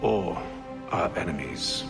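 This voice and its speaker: An actor with a British accent playing a dutiful gentleman agent in a video game, reserved, dry and formal. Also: low voice, lively intonation, slow, creaky, long reverb tail, over loud music, exaggerated voice. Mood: cool, dutiful. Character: cool, dutiful gentleman agent of a secret order. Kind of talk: dramatic video game cutscene acting.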